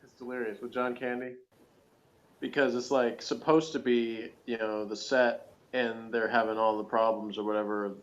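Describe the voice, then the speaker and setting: A man's voice: medium pitch, level tone, moderate pace, muffled recording. A man chatting casually as co-host of a TV review, with a slangy, joking, teasing way of talking. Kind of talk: casual chat, TV episode commentary.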